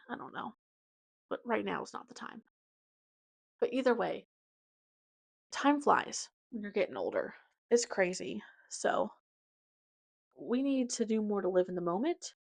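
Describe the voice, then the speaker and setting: A woman's voice: on the high side, dry recording. Chatty twenty-something woman, dry close sound. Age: 20s. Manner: chatty, animated, hops between topics with slang. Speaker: podcast host. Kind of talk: casual personal update monologue.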